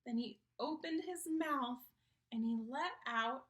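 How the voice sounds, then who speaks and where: A woman reading a children's picture book aloud in an expressive, theatrical manner, engaging young listeners. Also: sits high, takes it slowly.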